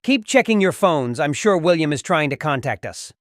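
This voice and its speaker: Anxious, bossy father in audio drama, acted by a woman. Lowish, animated, very dry. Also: moderate pace, no echo. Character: worried, commanding father of a family.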